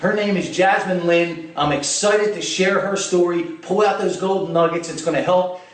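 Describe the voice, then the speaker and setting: A middle-aged man hosting a motivational interview, talking with upbeat, energetic hype. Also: high-pitched, moderate pace. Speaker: host. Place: indoor event room. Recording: echoey room, distant mic.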